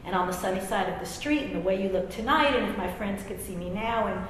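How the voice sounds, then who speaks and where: A woman's voice: medium pitch, fast talker. A middle-aged woman with an American accent reading a personal essay aloud, with wry, self-deprecating, conversational asides. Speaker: author and English professor. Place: large reverberant room at a live reading. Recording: very echoey hall, faint background noise.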